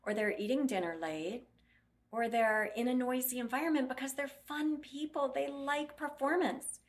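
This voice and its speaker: A woman's voice: sits high, moderate pace. Blunt, explanatory woman clinician. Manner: blunt, explanatory, acts out patient quotes. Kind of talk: interview answers.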